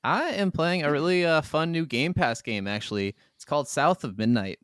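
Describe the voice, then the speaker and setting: A man with a smooth, clear voice talks as a podcast co-host, recorded dry and close. His delivery is informal and enthusiastic, like relaxed chat about games with a friend. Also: fairly high pitch, normal speed, dry recording. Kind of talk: casual gaming chat.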